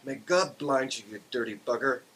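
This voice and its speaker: A man's voice: mid-range voice, animated, normal speed, fairly bright tone, pitched above the performer's own voice, natural voice. A man voicing a resentful, foul-mouthed dog with an Irish brogue, grumbling in a story reading. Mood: bitter, cursing. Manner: grumbling, cursing. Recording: clear background hiss.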